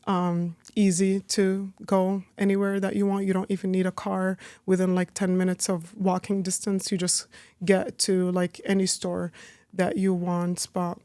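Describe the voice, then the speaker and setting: A woman's voice: fairly low pitch, darkish tone, no room sound. Chatty Palestinian-born woman, dry sound. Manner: chatty, heavy use of "like" and "you know". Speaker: guest, business owner. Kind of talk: casual interview talk.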